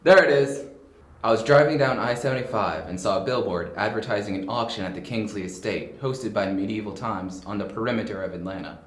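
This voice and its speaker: A man's voice: lowish voice, brisk, close to an everyday voice. A man playing a dry, helpful reporter in an amateur audio drama, with an easygoing manner. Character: detective's dry, helpful relative, a sharp-memoried male reporter. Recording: roomy amateur home recording.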